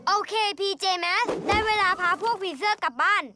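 A woman's voice: very high voice, normal speed, very noisy. A woman voicing a confident, kind-hearted boy superhero leader in a children's cartoon, encouraging and self-assured. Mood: gentle. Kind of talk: children's cartoon dub acting. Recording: music under the dialogue.

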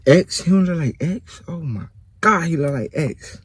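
A man's voice: fairly high pitch, expressive, moderate pace, a little hiss or hum. An American man hosting a reaction video, talking casually and with hype, repeating himself and speaking straight to viewers in slang. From United States. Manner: hyped, repetitive, slangy, talks straight to viewers.

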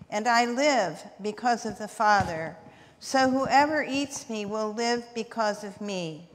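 Older woman reading scripture slowly. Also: on the high side, fairly bright tone. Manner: slow, measured. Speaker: lay reader. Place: church lectern. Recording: church reverb, faint clicks.

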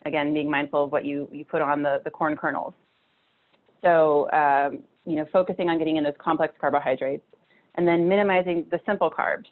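A woman's voice: sits low, steady tone, a little fast. A woman in her thirties giving a casual nutrition talk over slides, chatty, with many fillers and restarts, from a small room at home. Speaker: nutrition presenter. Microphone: video call webcam. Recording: tinny phone-like video call audio.

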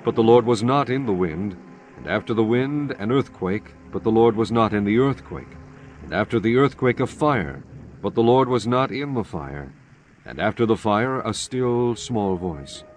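Low-voiced solemn male Bible narrator. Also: animated. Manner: solemn, measured storytelling. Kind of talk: scripted dramatized Bible narration. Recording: music bed under the voice. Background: background music.

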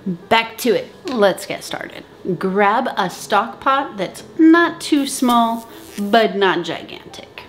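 A woman's voice: fairly high pitch, expressive, a little hiss or hum. Chatty woman teaching home canning. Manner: chatty, self-deprecating, brisk instructions. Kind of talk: casual how-to talk to camera. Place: home kitchen, indoors. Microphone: camera at close range.

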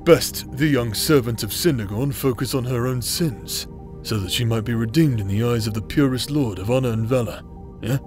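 A man playing a foul-mouthed, prickly injured bastard warrior in a roleplay audio drama, gruff and sarcastic. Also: medium pitch, normal speed, few pauses, music in the background, lightly acted. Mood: guarded.

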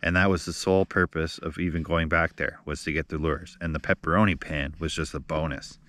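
A man's voice: very deep voice, steady tone, fairly quick, a bit bright. A man hosting a vlog outdoors on a frozen lake, chatting casually, teasing his friend and laughing often. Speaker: vlog host.

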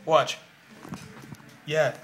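A young man from Toronto with a Toronto accent, chatting and teasing a friend in a vlog filmed on a phone in a small bedroom. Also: fairly bright tone. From Canada. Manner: teasing, joking, talks fast in bursts. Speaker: vlogger. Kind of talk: casual chat with a friend. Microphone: phone camera at arm's length.

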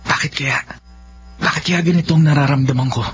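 An actor playing a polite, earnest, lovestruck young son in a Filipino radio drama. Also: male voice, on the high side, steady tone, a little fast, natural voice. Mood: pensive, longing. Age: young adult. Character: respectful, lovestruck grown son. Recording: dull band-limited radio drama, some noise.

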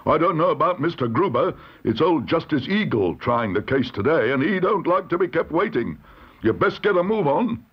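A man voicing a brisk, colloquial court usher in a children's storybook reading. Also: fairly high pitch, very animated, husky, dull audio, voice pushed up. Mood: brisk, impatient.

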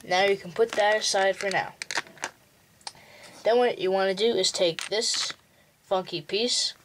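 A man's voice: high voice, fairly even intonation, noisy background. A young male host giving a plain, step-by-step walkthrough for building a toy model. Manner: slow, plain instructional walkthrough. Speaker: tutorial host.

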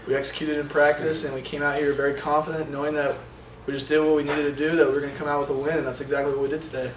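Young man answering, halting and flat. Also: fairly high pitch. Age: young adult. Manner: halting, many fillers and restarts. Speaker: college quarterback. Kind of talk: postgame press conference answers. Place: indoor press room in front of a sponsor backdrop. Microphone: table microphones from a short distance. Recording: roomy, distant sound with faint noise.

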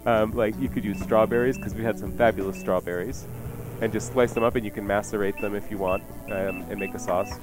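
A man's voice: fairly low pitch, steady tone, a little fast, low-quality recording. A man hosting a radio cooking show, casually explaining recipes in a jokey, chatty way. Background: music playing behind the voice.

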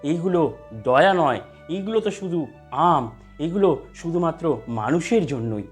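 A man voicing an honest, calm, principled fruit seller in an animated story, speaking plainly and earnestly. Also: fairly high pitch, moderate pace, soft background music, close to an everyday voice. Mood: kindly.

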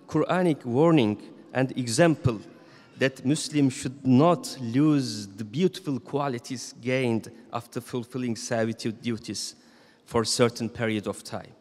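A man in his thirties giving a Friday sermon as imam, reading from notes in a measured, solemn way at a stand microphone in a large mosque hall. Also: medium pitch, a little mellow. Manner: measured, solemn reading with slow emphatic phrases. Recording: long reverb of a mosque hall.

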